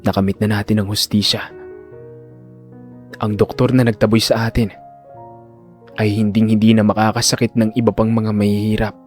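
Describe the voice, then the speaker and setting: Man playing calm male doctor, audio drama, solemn, firm, measured, authoritative hospital director. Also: lowish voice, faint music behind, voice pushed down, lightly acted. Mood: tender, solemn.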